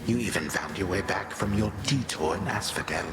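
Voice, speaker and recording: A man's voice: deep voice, a little fast, close to an everyday voice. Man playing an echoing, imperious old titan villain. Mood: haughty. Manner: pompous, archaic formal speech. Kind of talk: video game voice acting. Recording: echoing voice over loud game music.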